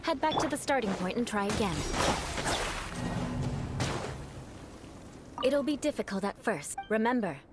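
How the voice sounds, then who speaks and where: Woman doing a classy noble knight lady for a game, highish lively voice, formal and proud, music behind. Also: close to an everyday voice. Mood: cool, patient. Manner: refined, formal, measured instruction. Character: poised aristocratic young woman knight, proud, composed, instructive. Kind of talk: video game character dialogue.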